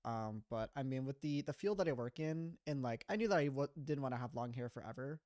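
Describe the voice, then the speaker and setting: Young man, mid-twenties, casual rambling stream chat, mid-pitched voice, dry close sound. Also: lively intonation, a little fast, a little mellow, no echo. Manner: rambling, chatty, self-deprecating with frequent laughs. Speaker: streamer and animator. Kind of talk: casual livestream chat, reading chat.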